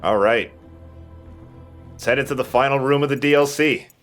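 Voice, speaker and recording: A man's voice: mid-range voice, moderate pace, a bit bright. A man giving casual, joking gameplay commentary in an informal way. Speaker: let's play co-host, player. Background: video game music.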